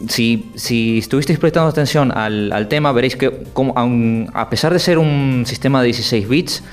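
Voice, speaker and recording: A man from Argentina, a guest on a radio podcast, telling stories in a halting, animated way with frequent restarts. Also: mid-range voice, clear, resonant tone, soft background music. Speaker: podcast guest, game music commentator. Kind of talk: casual radio podcast chat.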